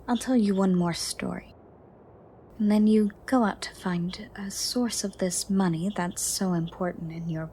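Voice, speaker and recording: A woman playing a gentle, ancient female monster under the bed in a soft, breathy, partly whispered ASMR roleplay, formal and caring. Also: mid-range voice, slight background noise, natural voice. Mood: caring, firm. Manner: slow, formal, caring, slightly stern.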